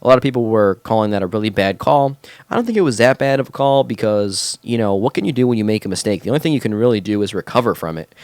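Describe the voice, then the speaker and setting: A man's voice: lowish voice, clear, resonant tone, dry recording. Nasal, chatty male podcast host. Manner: chatty, enthusiastic, many fillers. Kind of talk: casual solo podcast talk. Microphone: home podcast mic through a mixer.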